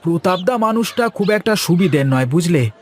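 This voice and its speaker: Man voicing a highish-voiced respectful younger brother. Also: expressive, moderate pace, soft background music. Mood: cautious. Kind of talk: Bengali cartoon voice acting.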